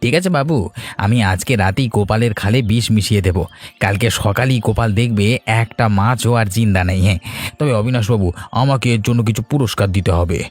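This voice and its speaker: A man's voice: on the low side, fairly quick, faint music behind, lightly acted. A man playing a sly, ingratiating henchman who schemes for a rich boss in a cartoon story. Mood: sly scheming. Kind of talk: Bengali cartoon story acting.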